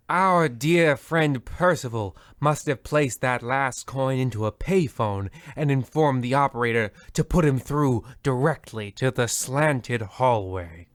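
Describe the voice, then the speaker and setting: A man voicing an ominous, sardonic narrator in a scripted spoof of old horror radio, measured and portentous. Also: mid-range voice, dry recording, studio quality, voice pushed down, lightly acted.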